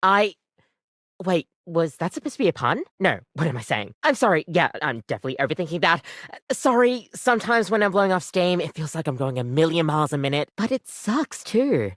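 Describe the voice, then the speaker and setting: A woman's voice: low-pitched, sing-song, fairly quick, dry recording, clean studio sound. A woman voicing an overworked, apologetic barista in a video game, chatty, rambling and self-deprecating, with a weary devotion to the job. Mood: awkward, apologetic. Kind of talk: video game character acting, conversational.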